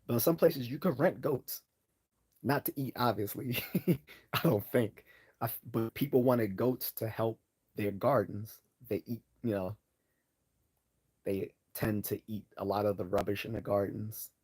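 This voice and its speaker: A man hosting a casual live Q&A, hoarse from losing his voice, rambling with many fillers and restarts as he answers chat questions. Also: lowish voice, fairly even intonation, a little slow, pauses often, a little mellow.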